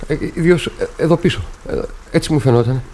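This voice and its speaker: An actor as a brooding young son, noisy recording. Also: male voice, fairly high pitch, darkish tone, natural voice. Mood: troubled. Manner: halting, heavy, intimate with his mother. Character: brooding, weary young artist son, tormented and petulant. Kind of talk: radio theatre acting.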